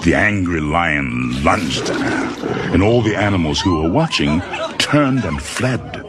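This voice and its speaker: A man narrating a children's storybook in an expressive, dramatic storytelling manner. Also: low voice, takes it slowly. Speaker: audiobook narrator. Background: music.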